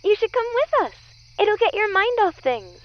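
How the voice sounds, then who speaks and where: Teenage best friend acted by a woman: extremely high, bubbly, encouraging, audio drama, slightly muffled sound. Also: very animated, moderate pace. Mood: upbeat, reassuring. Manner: chirpy, encouraging. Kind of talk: audio drama character acting.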